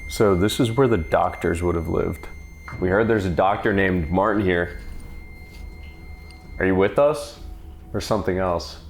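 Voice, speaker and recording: A man's voice: deep voice, measured pace, pauses often, slight background noise. A man investigating an old building at night, joking and calling out to spirits. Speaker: paranormal investigator. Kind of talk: ghost hunting show narration.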